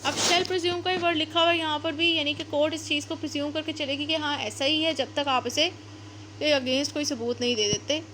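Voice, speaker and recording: A woman's voice: sits high, steady tone, fairly quick, background noise. A woman with a Pakistani accent giving an explanatory lecture as a law teacher, going step by step with repetition and mixing in English terms.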